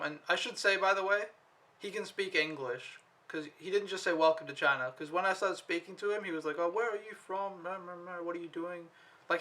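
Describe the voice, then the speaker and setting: A man's voice: a little high, sharp tone. A young man from Denmark recapping his week in a loose, rambling vlog story, full of fillers and casual swearing. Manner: rambling, laid-back storytelling with lots of fillers and mild swearing. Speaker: au pair vlogger.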